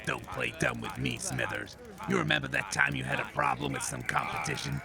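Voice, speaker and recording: A man voicing a bluffing, bumbling tough-guy cyborg with a gravelly voice in a comic audio drama. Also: medium pitch, huge pitch swings, fairly quick, full character voice. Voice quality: gravelly, creaky. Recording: outdoor crowd and vehicle bustle.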